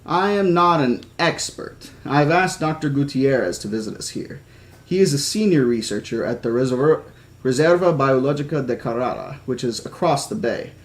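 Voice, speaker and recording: A man's voice: mid-range voice, expressive, moderate pace, lightly acted. A man voicing a formal, reassuring male doctor in a storybook reading. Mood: measured, reassuring.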